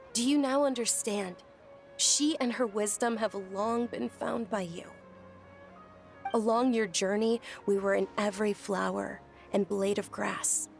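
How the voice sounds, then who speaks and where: Courteous young devotee, slightly creaky. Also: female voice, medium pitch. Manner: gentle, courteous, storytelling. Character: polite, earnest young woman, devout follower of a deity. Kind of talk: video game character acting.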